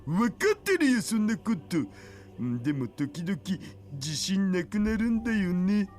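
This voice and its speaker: Whiny, high-pitched geeky male slacker. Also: very animated, a little fast, darkish tone, faint music behind. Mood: glum, unsure. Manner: whiny, wheedling, slangy. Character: lazy geeky otaku hacker, whiny and sheepish. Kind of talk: Japanese visual novel voice acting.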